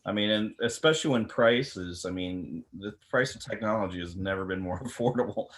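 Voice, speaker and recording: A man's voice: fairly low pitch, fairly quick. A man talking casually as an expert on a panel, blunt and rambling, often repeating words mid-sentence. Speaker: camera company representative on a panel. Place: online video meeting from a room. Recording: clearly noisy video-call audio.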